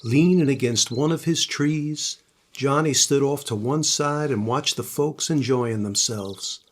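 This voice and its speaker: An older man, a grandfather, reading a children's storybook aloud in a husky voice. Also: medium pitch, measured pace.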